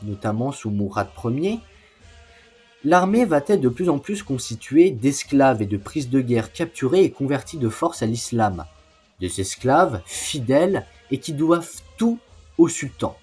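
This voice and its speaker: A man's voice: medium pitch, big pitch swings, unhurried, darkish tone, breathy edge. A man narrating an educational history video in an explanatory way, with informal asides. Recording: music behind the voice. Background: music.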